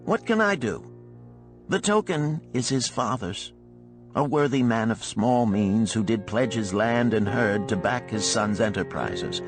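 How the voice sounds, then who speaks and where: A man voicing a shrewd older gold lender in a storybook, speaking in an archaic, emphatic, declamatory way. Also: medium pitch.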